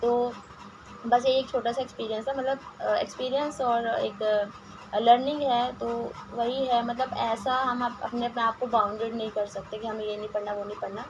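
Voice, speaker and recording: A hoarse woman teacher goes through exam-prep questions, her slightly high voice gravelly and rough. She hesitates often and restarts her words. The recording is dull and lo-fi with clear background noise. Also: level tone, normal speed. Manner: hesitant, frequent filler sounds and restarts, mixes in English words. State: hoarse from a sore throat. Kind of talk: reading quiz questions and answers, casual explanation.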